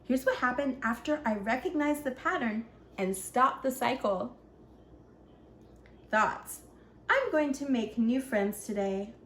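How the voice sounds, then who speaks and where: An earnest young ninja from a picture book , read by a woman, teaches children in simple words. The voice sits fairly high, in an echoey room with faint noise behind it. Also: animated, slow, pauses often. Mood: hopeful.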